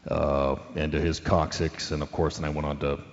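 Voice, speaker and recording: A man playing a dim, earnest ex-football player on a sports radio show, halting as he states the obvious, in a radio drama. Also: rumbling low, level tone. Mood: earnest, modest. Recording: dull lo-fi sound, long hall reverb.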